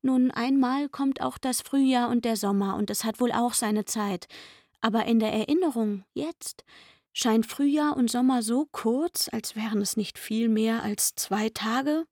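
An actress playing a pleading young chambermaid in a radio play, speaking intimately and wistfully. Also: female voice, on the high side, unhurried, darkish tone, no room sound, clean studio sound. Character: pleading young chambermaid luring a man into secret shelter. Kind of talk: literary radio play acting.

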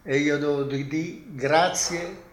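An elderly man, recalling his past as a witness in a halting, colloquial way. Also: a little high, steady tone, roomy sound, noisy background. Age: 80s. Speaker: survivor giving testimony. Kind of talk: spoken reminiscence.

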